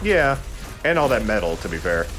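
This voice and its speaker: A man's voice: fairly low pitch, very animated, moderate pace, fairly bright tone. A man chatting casually as he gives commentary on a video game he is playing. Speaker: let's play gamer and commentator. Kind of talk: casual joking gameplay commentary. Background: faint game music.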